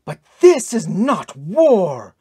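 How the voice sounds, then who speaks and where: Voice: medium pitch, expressive, moderate pace, a little mellow, breathy edge, no echo, studio quality. A narrator voicing a fierce, proud Norse warrior princess with archaic, declamatory speech in an audiobook adventure. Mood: indignant, loathing.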